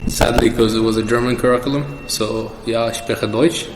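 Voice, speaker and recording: A man's voice: mid-range voice, steady tone, moderate pace. A young man answering questions as the guest in a casual personal interview. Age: young adult. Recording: echoey reverberant room with background noise.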